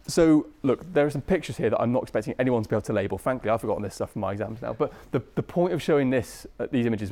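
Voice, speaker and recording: A British man lectures at a brisk pace in a soft, mellow voice. He teaches informally, chatting through his points with colloquial phrasing and frequent tag questions. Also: mid-range voice. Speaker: medical lecturer, anaesthesia registrar.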